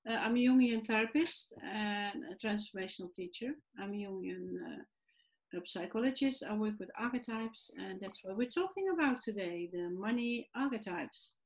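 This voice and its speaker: A woman therapist from the Netherlands in her forties, calmly hosting a video call show. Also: medium pitch, moderate pace, rough recording. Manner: calm, with soft listening sounds. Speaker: host and therapist. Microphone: video call webcam.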